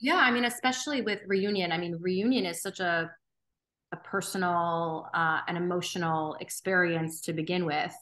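Woman, 30s, interview guest; bright tone, earnest, unhurried, thinking aloud. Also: on the low side, fairly even intonation. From Romania. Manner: earnest, thoughtful, frequent ums and restarts. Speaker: podcast guest. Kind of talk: reflective interview conversation.

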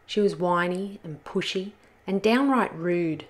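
A woman reading a children's storybook aloud as host, in an expressive style. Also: on the low side, a little slow. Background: soft music.

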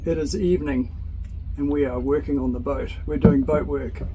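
A man's voice: fairly high pitch, steady tone, moderate pace. A man in his forties with a New Zealand accent, explaining boat engine work to a handheld camera on his sailboat. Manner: chatty, practical, explains boat mechanics step by step. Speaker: sailing vlogger. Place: sailboat, outdoors at sea and on deck at night. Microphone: handheld camera at arm's length. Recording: loud wind and engine noise.

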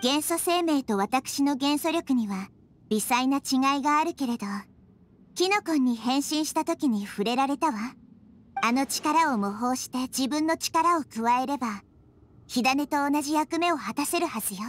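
A woman voicing a tiny, childlike nature goddess in an anime game, soft and girlish, speaking in a measured, earnest, gentle way. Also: sits high, expressive. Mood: calm resolve. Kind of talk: Japanese anime game voice acting. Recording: studio voice over background music.

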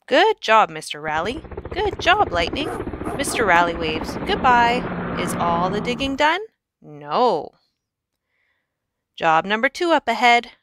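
A woman reading a children's picture book aloud in an expressive, animated storyteller's way with emphatic phrasing. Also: mid-range voice, a little hiss or hum. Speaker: read-aloud narrator.

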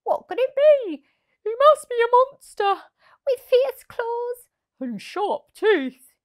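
A woman voicing frightened, squeaking little mice in a panicky storybook manner. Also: very animated, slow, full character voice. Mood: panicked.

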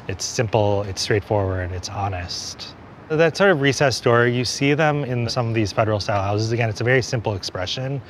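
A man in his forties, an architect, giving an on-camera walking tour outdoors, explaining buildings in a conversational way with many small hedges. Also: fairly low pitch. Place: outdoors on a city street. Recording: city street noise behind.

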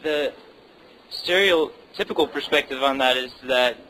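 Bright-voiced male interviewer in a club. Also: mid-range voice, a little slow, a little hiss or hum, rough recording. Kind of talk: interview questions.

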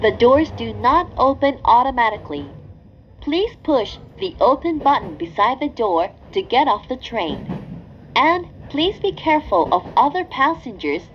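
A woman giving scripted train announcements, formal and clearly enunciated. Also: sits high, very animated, slow. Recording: dull lo-fi recording with train noise. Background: train ambience.

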